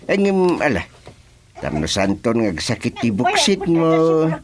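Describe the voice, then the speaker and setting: Casting: male actor as comic village doctor, exasperated and hesitant, very animated but unhurried, crowd chatter behind. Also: on the high side. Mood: hesitant, instructing. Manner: drawn-out, full of hums and interjections. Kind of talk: Ilocano radio comedy drama acting.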